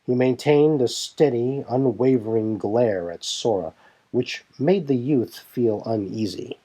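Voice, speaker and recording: A man's voice: medium pitch, measured pace, warm tone. A man reading scripted narration for a fan fiction audio story.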